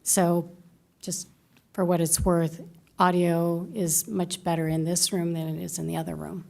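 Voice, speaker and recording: A woman with a very soft voice speaks earnestly at a table microphone in a city meeting room. Her tone is mellow, her pitch fairly low, and her delivery stays flat. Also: a little slow, vocal fry. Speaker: committee member.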